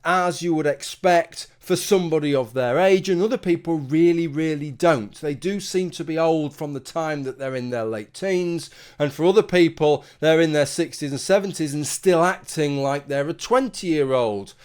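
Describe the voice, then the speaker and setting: Male commentator, lightly raised pitch, talks through an argument with asides to the viewer. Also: normal speed, hardly any pauses, a little mellow, smooth. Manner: argumentative, conversational, rhetorical asides. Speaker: commentary video host. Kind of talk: solo opinion monologue.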